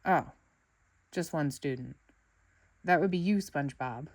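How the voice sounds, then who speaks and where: A woman's voice: low-pitched, measured pace. A bored male substitute teacher from a children's storybook, played by a woman reader. He talks in an offhand, disinterested way, as if he could not care less about the class.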